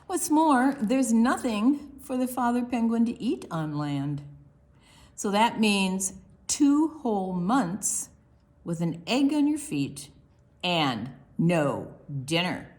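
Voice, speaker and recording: Lady doing storytime for little kids, reads slow, voice goes up and down a lot. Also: medium pitch. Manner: expressive, deliberate, with quiet asides to young listeners. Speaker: children's librarian hosting online storytime. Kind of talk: read-aloud picture book storytime for preschoolers.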